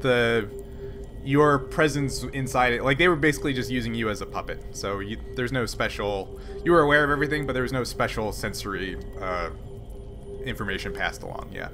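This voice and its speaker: A man's voice: mid-range voice, fairly quick. Rambling male game master. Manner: loose, rambling, restarts while describing. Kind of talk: narrating a tabletop game. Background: background music.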